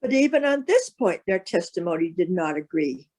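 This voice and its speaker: A woman narrating a solemn Bible reading as a scripted piece, with measured, careful phrasing. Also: on the low side, very animated, darkish tone.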